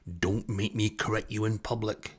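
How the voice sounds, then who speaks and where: A man voicing a bossy, teasing woman in a comic story, scolding with drawn-out emphasis. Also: lowish voice, fairly even intonation, husky. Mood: hushed scolding. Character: bossy, exasperated female companion, teasing and correcting.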